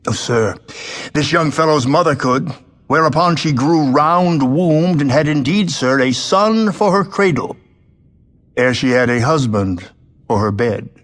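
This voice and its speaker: A man's voice: a little high, animated, measured pace, a bit bright. An actor playing a jovial, bawdy older nobleman with a courtly, expansive manner in Shakespearean audio drama. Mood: jocular, courtly.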